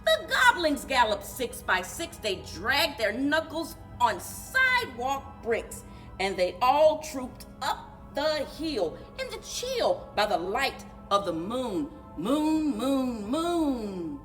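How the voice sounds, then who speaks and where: A woman reading a children's storybook aloud in an animated, rhythmic singsong for a library story time. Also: high-pitched, takes it slowly, faint music behind, low-quality recording. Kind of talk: children's storybook read-aloud with chanted refrains.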